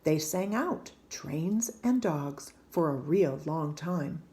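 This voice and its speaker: A woman reading a children's picture book aloud in a gentle, hushed and expressive storytelling manner. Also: low voice, slow pace. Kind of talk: gentle scripted read-aloud narration.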